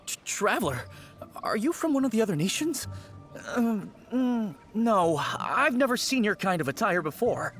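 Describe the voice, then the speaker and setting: A skittish young male undertaker from a video game, high-pitched and very animated. He stammers and pleads politely like a born coward, with faint music behind him. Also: moderate pace, full character voice. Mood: nervous. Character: timid, easily terrified young male rookie undertaker.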